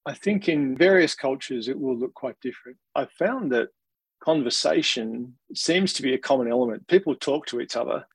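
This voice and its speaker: An Australian man, a senior leader in an organisation, giving thoughtful, measured answers in an interview, with small restarts. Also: medium pitch, expressive, breathy edge, no room sound, low-quality recording.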